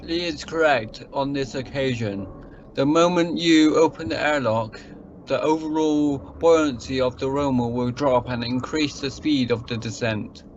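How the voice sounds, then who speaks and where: A man playing a cynical, unemotional genius scientist astronaut in an amateur radio play, pedantic and deadpan. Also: medium pitch, unhurried, natural voice. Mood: detached. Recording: muffled home-made recording, faint noise.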